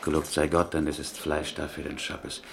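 A weary, pious butcher locked in a cell in a radio drama. The voice is very low and creaky, musing with resignation in oddly inverted sentences. A faint noise sits behind it. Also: male voice, monotone, normal speed. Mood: grateful. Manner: inverted Yiddish-tinged syntax, musing, resigned.

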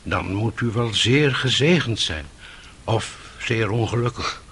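An actor playing a grave, compassionate elderly monk in a radio drama, speaking solemnly and with gentle admonition. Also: male voice, lowish voice, lively intonation, a little slow, breathy edge, exaggerated voice. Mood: probing, grave. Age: older.

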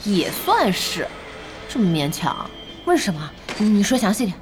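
A woman playing an excitable, bossy young boss and fanatical esports fan in an audio drama, impatient and exclamatory. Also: mid-range voice, unhurried. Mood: curious. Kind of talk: audio drama acting, conversational. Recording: music behind the voice.

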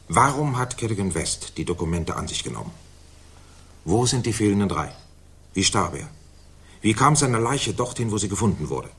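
Mycroft Holmes, a low-voiced, pompous senior official. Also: male voice, husky. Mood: urgent, imperious. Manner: deliberate, formal, pompous. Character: Mycroft Holmes, weighty, authoritative, self-satisfied senior government official. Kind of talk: 1960s German radio drama acting.

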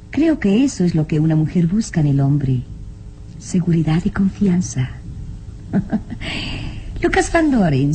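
A woman's voice: medium pitch, mellow, natural voice. An actress playing a young, romantic noblewoman in a melodramatic radio drama, nervous yet commanding, with theatrical delivery. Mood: dreamy.

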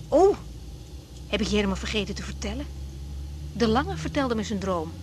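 A woman's voice: mid-range voice, very animated, normal speed, background noise, close to an everyday voice. An actress playing a frank young woman doctor in a naturalistic Dutch radio drama, hesitant and informal in her speech. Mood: animated, confiding. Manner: hesitant, repeating words, informal. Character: young woman doctor, frank, caring but easily thrown.

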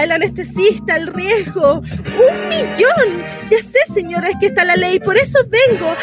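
A woman acting a theatrical monologue as a nervous, indignant pregnant woman in a bitterly ironic, conversational one-sided dialogue. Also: very high-pitched.

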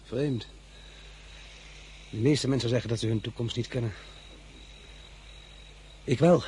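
A man voices a young, ambitious officer, sure of his great destiny. He speaks with calm, grand self-assurance, at a mid pitch, in a radio play. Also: unhurried, slight background noise, lightly acted. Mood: confident. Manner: confident, grandiose. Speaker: actor. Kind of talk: radio drama acting.

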